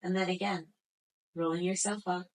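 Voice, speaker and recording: A woman instructor talks a class through floor stretches and breathing. Her voice sits a little low, and she gives each cue slowly and gently in a soothing way. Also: level tone, rough recording. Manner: slow, gentle, soothing cues. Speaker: yoga instructor. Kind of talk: guided exercise instruction.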